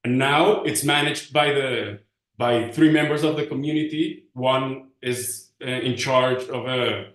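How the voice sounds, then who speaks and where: A man giving an informal presentation, pausing often as he searches for English words. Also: mid-range voice, a bit bright. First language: Spanish. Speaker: panelist presenting community research. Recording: roomy, echoing sound.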